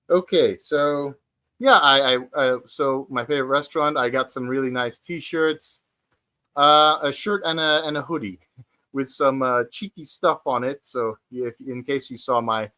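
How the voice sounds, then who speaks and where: A man of forty hosting a casual livestream chat from home, speaking hesitantly with many fillers and restarts and pausing to read out viewer comments. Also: mid-range voice, pushed and bright. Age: 40s. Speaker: livestream host. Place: at home, sitting on the floor.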